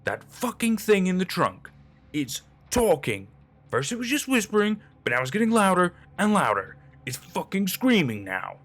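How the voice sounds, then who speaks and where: A man's voice: sits high, wildly swooping pitch, moderate pace, a bit bright, voice pushed up, heavily acted. A man voicing a terrified, paranoid young man who is losing his mind, frantic and pleading, in a dramatic story. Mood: frightened insistence.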